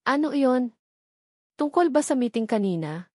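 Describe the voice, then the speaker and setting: A woman's voice: high-pitched, animated, unhurried, mellow, dry recording, voice pushed up. Gushy, anxious young woman, secretly false friend in a story drama, voiced by a woman reader, dry recording. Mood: worried.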